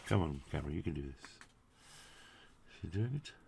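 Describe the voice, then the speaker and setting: A man's voice: rumbling low, fairly quick, creaky, noisy background. A British man presenting a casual hands-on product review in a chatty, jokey, effusive way with quaint turns of phrase. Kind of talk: casual hands-on unboxing commentary.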